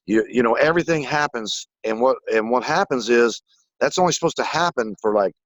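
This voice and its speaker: A man in a casual spiritual conversation who rambles excitedly and swears casually. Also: medium pitch, a little fast, dry recording, rough recording.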